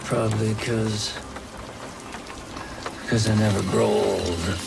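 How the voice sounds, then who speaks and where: An actor playing a tender, weary old grandfather with a gravelly voice, telling a story in a folksy, unhurried way for a game trailer. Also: on the low side, fairly even intonation, very noisy, exaggerated voice. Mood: fond, wistful. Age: older. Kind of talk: cinematic game trailer monologue. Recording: loud music under the voice.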